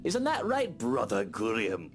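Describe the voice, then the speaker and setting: A man's voice: medium pitch, huge pitch swings, unhurried, over music. An actor playing a smug, teasing wealthy man who drawls his lines in a visual novel game. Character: Whiskey, smug, teasing wealthy male employer.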